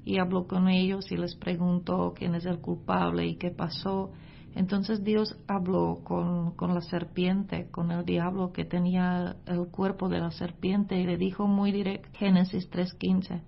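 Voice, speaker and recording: A woman's voice: fairly low pitch, flat delivery, a little slow, creaky, highs cut off, slight background noise. A woman who grew up in Czechia, whose first language is Czech, gives a Christmas devotional message in careful, hesitant, non-native Spanish. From Czech Republic. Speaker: missionary preacher.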